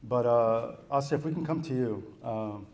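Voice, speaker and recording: A man's voice: mid-range voice, moderate pace, vocal fry, darkish tone. A man moderating a conference panel, asking loose conversational questions. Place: conference hall.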